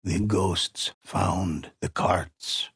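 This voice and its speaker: Casting: an aged, grave game storyteller for dark folk tales, gravelly and ominous, close dry sound, unhurried delivery. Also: male voice, deep voice, fairly even intonation, no room sound, exaggerated voice. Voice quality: gravelly, husky. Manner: solemn, deliberate, ominous. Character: grave old male storyteller narrating a dark legend of ghost warriors. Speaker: narrator. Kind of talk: dramatic scripted game narration, folk-tale telling.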